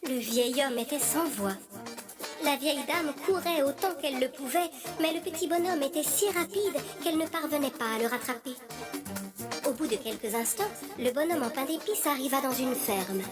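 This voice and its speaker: A woman's voice: sits high, moderate pace, music in the background. A woman narrator reading a scripted children's fairy tale.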